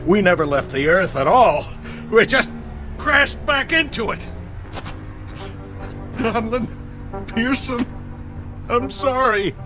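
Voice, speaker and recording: Over clear background music, a desperate, ruthless astronaut stranded and turned killer. His delivery is slow and weighty, with pitch that swings widely in full radio-play style. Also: lively intonation, exaggerated voice, rough recording. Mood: anguished, remorseful. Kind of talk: dramatic radio play acting.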